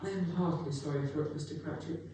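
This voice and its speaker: A young man playing a humble, loving, grieving father with a halting, tender delivery in a dramatic reading. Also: on the high side, fairly even intonation, dark tone, lightly acted. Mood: wistful, warm. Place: live performance in a reverberant museum room. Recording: very echoey hall, distant mic, faint noise.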